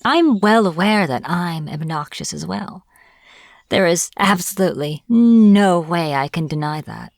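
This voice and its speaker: A woman playing a smug, teasing witch in an audio roleplay, taunting a captive in a playful, deliberate manner. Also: on the low side, animated, vocal fry, dry recording, clean studio sound. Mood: mocking. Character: smug teasing young-sounding witch, captor of a hunter. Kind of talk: audio roleplay, acted monologue to the listener.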